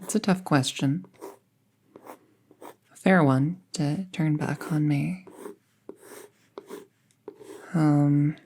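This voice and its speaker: Young apprentice witch for audio roleplay, played by a woman: low, mellow, soft-spoken, casually thoughtful and friendly, near-whispered at times. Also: slight background noise, full character voice. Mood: fond, unsure. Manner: soft-spoken, hesitant, musing, often trailing into near-whisper. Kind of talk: intimate roleplay audio acting, conversational.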